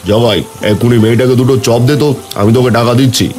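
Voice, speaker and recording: A man acts a village chief in a cartoon, kind yet commanding. His voice sits at a middle pitch and sounds fatherly and firm, with faint music underneath. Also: steady tone, very fast, a little mellow, natural voice. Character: kindly, authoritative male village headman.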